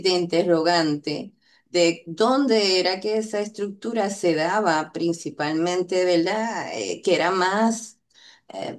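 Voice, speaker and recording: A Puerto Rican woman with a slightly low voice talks through her linguistic research at an unhurried pace. She explains carefully, often stalling on fillers and tagging her points with little questions to the listener. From Puerto Rico. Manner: hesitant, frequent "eh" fillers and "¿verdad?" tag questions. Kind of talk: unscripted academic explanation.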